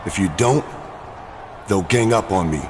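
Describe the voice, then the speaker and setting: A man's voice: sits low, unhurried. A man playing an earnest wrestler in a video game, speaking in an insistent, plain-spoken way. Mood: urgent concern. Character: earnest, pleading man wrestler urging his tag partner. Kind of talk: scripted video game cutscene acting. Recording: clear ambient noise behind voice.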